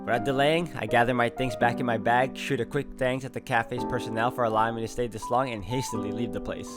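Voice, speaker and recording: A man's voice: medium pitch, steady tone, fast talker, over loud music. A man reading first-person visual novel narration aloud as he plays through the game.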